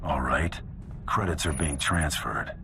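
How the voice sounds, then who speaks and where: An actor playing a gruff, cocky male bounty hunter champion in a video game, terse and dry. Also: a little slow, bright, forceful tone, slight background noise, heavily acted. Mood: cool, cocky. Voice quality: very deep voice.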